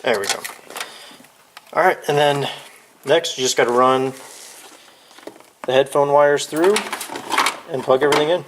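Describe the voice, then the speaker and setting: A man's voice: on the high side, a little slow, long pauses. A man giving a casual, hands-on how-to demonstration in an informal, offhand way, as if thinking aloud while he works. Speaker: DIY video presenter. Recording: clear background noise, clicks of handling.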